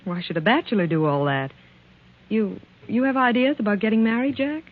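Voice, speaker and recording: Actress as high-voiced guarded woman, old radio. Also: fairly quick, narrow, tinny audio, close to an everyday voice. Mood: guarded, evasive. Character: guarded, evasive working woman, politely cool. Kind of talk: 1940s radio drama acting. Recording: band-limited old 1940s radio recording.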